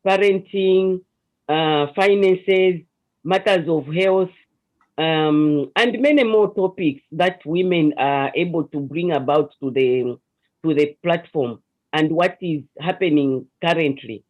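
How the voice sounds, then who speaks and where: A woman with a Zambian accent and a notably deep voice speaks as a guest in an online interview. She explains her counseling work earnestly, often restarting her phrases. The video call audio sounds dull and lo-fi. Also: slow pace.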